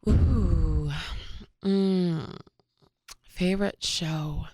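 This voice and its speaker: A woman from Cameroon, a musician guest chatting in a relaxed, easygoing way in a radio studio. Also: lowish voice, very slow, slightly rough, no room sound. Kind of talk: casual interview chat.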